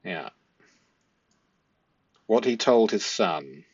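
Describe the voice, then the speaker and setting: A man giving analytical commentary as a host, reading from a transcript in halting, stop-start phrases and stressing key words. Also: fairly low pitch, lively intonation, slightly rough, dry recording. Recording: dull, muffled lo-fi audio.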